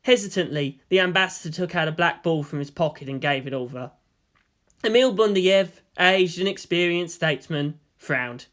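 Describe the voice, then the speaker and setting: Male story reader, high-pitched voice, scripted narration. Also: normal speed, a bit bright. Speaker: fan fiction reader.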